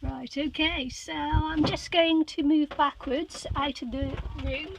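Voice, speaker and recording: An older woman with a high, squeaky voice and a gravelly edge talks slowly and haltingly, full of ums and little giggles. She films on a handheld phone in a small boat cabin, with a faint hiss behind her. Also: steady tone. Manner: rambling, hesitant with many fillers, giggly. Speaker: crafter giving a room tour. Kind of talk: casual live-stream tour. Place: small cabin of a sailboat used as a craft room.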